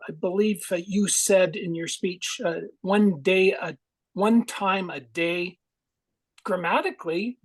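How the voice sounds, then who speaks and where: A man's voice: high voice, rough recording. A man, giving a musing, digressive grammarian's report at a speaking club. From Canada. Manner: musing, digressive, friendly explainer.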